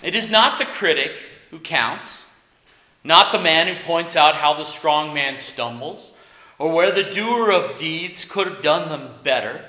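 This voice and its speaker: A man's voice: fairly high pitch, measured pace. A man serving as pastor who leads the congregation in a hymn and preaches a casual, story-led sermon in a church. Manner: leads hymn singing, then preaches with relaxed asides. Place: church sanctuary. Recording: echoey church room.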